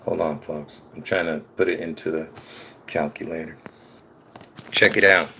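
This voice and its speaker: A man's voice: very deep voice, steady tone, normal speed, pushed and bright, a little hiss or hum. Rambling man talking to his viewers. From Lebanon. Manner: rambling, restarts often, addresses viewers directly. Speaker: conspiracy video creator. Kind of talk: rambling casual monologue to camera.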